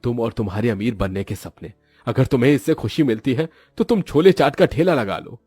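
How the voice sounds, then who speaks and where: A man acts out a modest, patient cartoon husband. His voice is husky and swings widely in pitch, recorded dry over music. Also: animated, a little fast, darkish tone, no room sound. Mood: hurt, reasoning. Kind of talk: cartoon voice acting.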